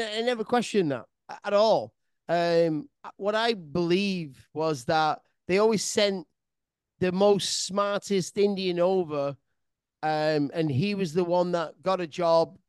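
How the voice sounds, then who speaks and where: A man's voice: high-pitched, clear, resonant tone, dry recording. A man hosting a casual podcast chat, asking curious questions and often restarting them. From England. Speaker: podcast host.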